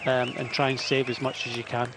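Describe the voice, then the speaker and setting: A man co-commentating a cycle race, relaxed and rambling, giving rider's-eye tactics. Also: mid-range voice, fairly quick, a crowd in the background, noisy background. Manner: relaxed, self-deprecating, rambling. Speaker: cycling co-commentator. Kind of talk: live race commentary chat. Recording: commentary over loud race ambience.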